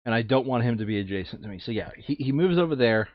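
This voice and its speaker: A man's voice: mid-range voice, expressive, quick pace, no room sound. A man chatting casually and jokily as he plays a tabletop game. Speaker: board game player. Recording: dull lo-fi sound.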